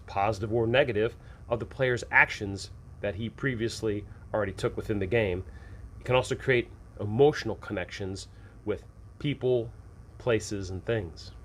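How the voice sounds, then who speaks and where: A man hosting an advice show, talking through tips and examples in an informal, rambling, conversational way. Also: medium pitch. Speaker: host of a tabletop game-mastering advice show. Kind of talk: conversational instructional talk, explaining with examples. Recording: clearly audible background noise.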